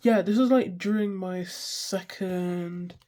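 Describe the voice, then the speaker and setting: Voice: mid-range voice, fairly even intonation, takes it slowly, warm tone. Congested young vlogger, stuffy nose. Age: 20s. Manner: swears freely, self-deprecating, loses track mid-sentence. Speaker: art vlogger. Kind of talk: casual rambling chat.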